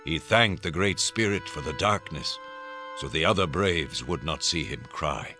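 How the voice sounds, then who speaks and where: A solemn storyteller with a very low, richly inflected voice. He narrates a radio drama in a lyrical way over music. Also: expressive, a little slow. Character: solemn storyteller of his captive Native brothers.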